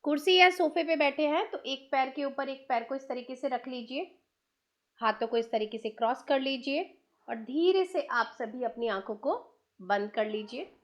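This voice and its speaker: A woman with an Indian accent guides a meditation in a soothing manner, giving instructions in short, unhurried phrases. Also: high-pitched. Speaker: meditation guide, channel host.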